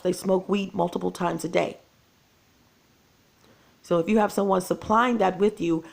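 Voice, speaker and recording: A woman's voice: medium pitch, creaky. A woman, losing her voice, talking to her viewers in an earnest, repetitive casual vlog monologue. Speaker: channel host.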